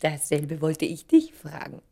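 Husky, lilting, cool society lady. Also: sits low, very animated, unhurried, mellow, full character voice. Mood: composed, curious. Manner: polite, cool, probing. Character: poised, coolly teasing society lady. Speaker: actor in a radio play. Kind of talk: German radio play acting.

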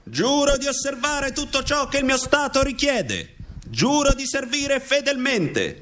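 A male actor voices a young Swiss Guard recruit in a radio play. The voice is raised high and speaks in solemn, measured declamation, as one swearing a sacred oath. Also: fairly bright tone, heavily acted.

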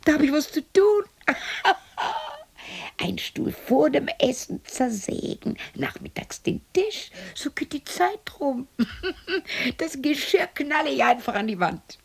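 An actress playing a lonely, bitter widow of sixty in a radio play, talking to herself in a husky, creaky voice that often drops to a whisper, scolding and questioning herself. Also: female voice, high-pitched, big pitch swings, takes it slowly, exaggerated voice. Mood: grimly gleeful. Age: 60s. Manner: talks to herself, scolding and questioning, abrupt shifts.

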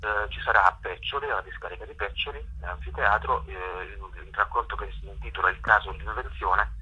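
A man answering as a guest in a radio interview, hesitant and halting as he searches for words. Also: lowish voice, pushed and bright, slightly rough. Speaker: interview guest, theatre artist and workshop teacher. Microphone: telephone. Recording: dull telephone line on radio.

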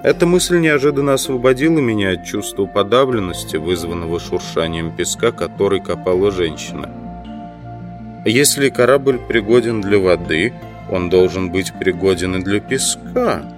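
A man playing a pensive entomologist who thinks aloud in an audiobook drama, with deliberate, reflective phrasing. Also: deep voice, lively intonation. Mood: musing. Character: pensive entomologist absorbed in abstract speculation. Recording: music behind the voice.

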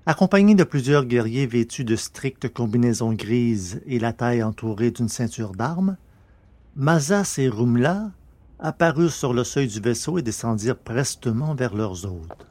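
A male narrator reads a science fiction tale in a middle-range voice. His delivery is scripted, measured and literary, in the manner of an audiobook. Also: medium pitch, animated, darkish tone, no room sound.

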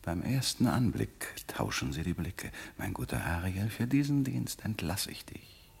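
A commanding, scheming older magician speaks slowly in theatrical radio-drama style. His low-pitched lines swing widely between murmur and stern declamation, with a father's tenderness underneath. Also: very animated, gravelly, a little hiss or hum, full character voice. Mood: secretive, pleased.

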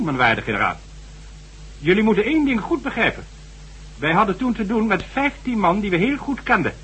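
Male senior government official, radio drama. Higher-pitched, very animated delivery, stern, condescending, formal. Slightly noisy old recording. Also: husky, full character voice. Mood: scornful, urgent. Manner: sardonic, condescending, formal, lecturing. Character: stern, sardonic high government official and former investigator. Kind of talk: Dutch radio drama acting. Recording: faint hum and noise, old radio recording.

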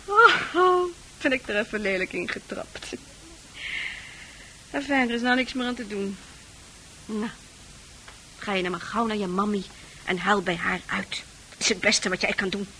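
An actress in a Dutch radio play playing a scornful, proud prostitute with a brash, mocking manner. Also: female voice, mid-range voice, normal speed, long pauses, pushed and bright, slight background noise, rough recording. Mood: angry, proud. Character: hard, scornful prostitute, proud, wounded underneath.

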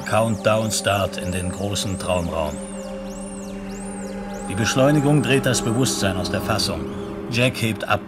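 A man narrating a science fiction radio play as a detached storyteller, in measured, clipped phrases. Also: lowish voice, lightly acted. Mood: detached, intense. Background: music.

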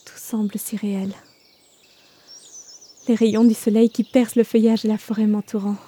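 A woman's voice: mid-range voice, level tone, normal speed, lightly acted. A woman playing a wonderstruck beginner archer, narrating her first steps in a French audio drama. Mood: wonder. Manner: savouring, descriptive, hushed wonder. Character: wonderstruck young female novice archer in virtual world.

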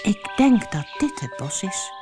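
A woman's voice: medium pitch, big pitch swings, unhurried, over music, pitched above the performer's own voice. A woman voicing a small, wistful and proud female rag doll in a children's storybook, talking in simple childlike sentences. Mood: soft wonder.

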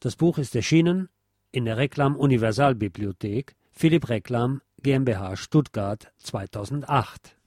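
A man's voice: mid-range voice, lively intonation, normal speed, darkish tone, no echo. Formal male narrator, dry close recording. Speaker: podcast host reading from a history book. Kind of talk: scripted narration, reading a nonfiction text aloud.

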